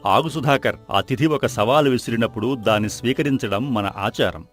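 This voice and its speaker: A man's voice: medium pitch, lightly acted. Man playing a dignified village leader, music behind. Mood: firm, composed. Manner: measured, firm, formal. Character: dignified, calm village leader defending his custom. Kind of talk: animated moral-story voice acting. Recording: background music under the voice.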